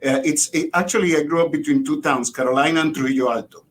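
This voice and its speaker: A middle-aged man with a Puerto Rican accent chatting casually as an interview guest, with hesitant repeats. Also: fast talker. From Puerto Rico. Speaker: guest, biology professor. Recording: rumbly background noise.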